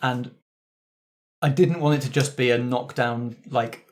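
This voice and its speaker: A man speaks in a mid-pitched voice, as a game master chatting after a session. His delivery is casual and conversational, explaining rules and story choices to a group. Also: steady tone, a little slow. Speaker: game master of an actual-play podcast. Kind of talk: casual post-game discussion.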